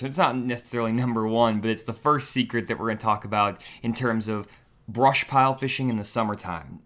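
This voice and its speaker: A young man hosting a fishing livestream, chatty and upbeat, talking straight to viewers, his voice a little raspy and tired. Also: mid-range voice, fairly bright tone. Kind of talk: casual livestream talk.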